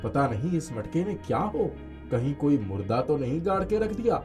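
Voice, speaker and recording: A man voicing a poor, good-natured village farmer in an earnest, expressive storybook delivery. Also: on the high side, moderate pace, soft background music. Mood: puzzled.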